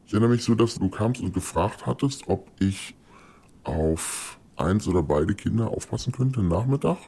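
A man in his early thirties speaks in a deep, gravelly, husky voice made dark by distortion that hides who he is. He sounds measured and self-questioning, as in a documentary interview. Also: animated. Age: early 30s.

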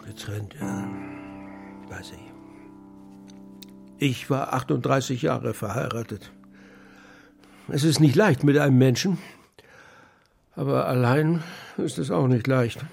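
An actor playing a lonely, rueful old pigeon keeper in a German children's radio play. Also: male voice, medium pitch, slow pace, gravelly, pauses often, a little mellow, soft background music. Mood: resigned, kindly. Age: older.

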